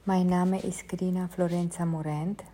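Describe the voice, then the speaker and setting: A woman who teaches meditation explains her classes in careful, measured speech, as a non-native speaker of the language. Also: on the low side, level tone, dark tone. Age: adult, past her teens. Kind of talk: calm spoken introduction to meditation.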